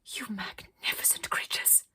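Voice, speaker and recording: A woman's voice: unhurried, bright, forceful tone, no room sound, in a whisper. A woman voicing a flamboyant, dreamy, eccentric woman artist in a children's storybook, with gushing, melodramatic delivery. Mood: anguished longing.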